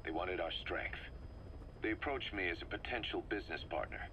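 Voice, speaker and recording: A deep, hard-bitten mercenary commander. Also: male voice, lively intonation, a little fast, creaky, sharp tone, dull audio, a little hiss or hum, full character voice. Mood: grim, bitter. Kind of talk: dramatic video game acting, expository briefing.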